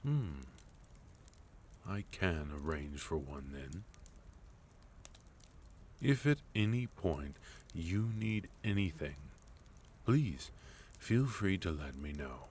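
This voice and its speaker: A man's voice: deep voice, very animated, slow pace, pauses often, a little mellow, slight background noise, exaggerated voice. A man playing a sophisticated villain leader in a fantasy ASMR roleplay, polite, formal and soothing as he dotes on a captive guest. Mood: courteous, attentive.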